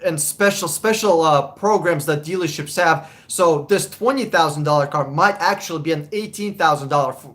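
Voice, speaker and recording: Young man, slightly high voice; casual, blunt live-stream car advisor. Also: moderate pace, few pauses, a bit bright. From Russia. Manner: casual, blunt, swears, chuckles often. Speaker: car buying advisor, livestream host.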